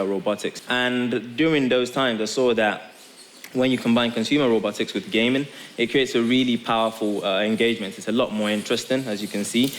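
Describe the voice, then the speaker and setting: A man from Nigeria speaking as a guest in a live on-stage interview, pausing often as he explains. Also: lowish voice. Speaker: guest tech company founder. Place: theatre stage before an audience. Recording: live stage, clear hiss noise.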